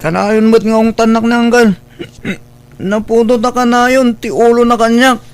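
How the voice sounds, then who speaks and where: Casting: petulant young Filipino son played by a man, strikingly high-voiced, disrespectful, for radio drama. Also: flat delivery, a little slow, clean tone. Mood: sullen. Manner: petulant, curt. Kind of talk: Ilocano radio drama acting.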